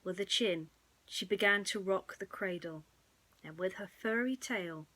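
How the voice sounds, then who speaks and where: A woman narrating a bedtime story in a soothing, hushed manner that often drops close to a whisper. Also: mid-range voice, a little slow, low-quality recording.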